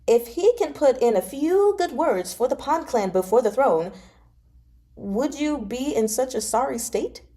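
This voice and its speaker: A woman's voice: high-pitched, animated, measured pace, warm tone, voice pushed up. A woman reading a novel aloud as an eager young nobleman, wheedling and insistently coaxing a friend. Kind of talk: dramatized audiobook-style reading of a novel.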